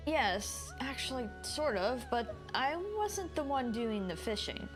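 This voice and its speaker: A woman's voice: a little high, animated, a little slow, rough recording. A woman game streamer giving casual live commentary and reading along with dialogue. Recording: game music behind the voice.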